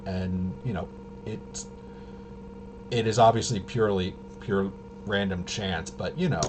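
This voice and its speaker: Low-pitched man rambling haltingly over noisy hum. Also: steady tone. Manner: halting, rambling, often trailing off mid-sentence. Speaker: livestream host. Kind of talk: casual unscripted stream commentary. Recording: noisy, with clear background hum.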